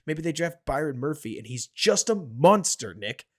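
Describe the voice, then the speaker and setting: A man hosting a casual sports podcast, talking excitedly and building his case with rhetorical questions. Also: a little high, normal speed. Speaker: podcast host. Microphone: home podcast mic.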